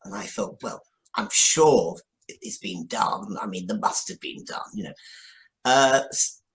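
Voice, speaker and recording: A man speaking as an interview guest, telling an account conversationally with hesitant, halting starts. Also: lowish voice, expressive, a bit bright. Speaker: guest, scriptwriter. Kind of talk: interview answer, conversational storytelling.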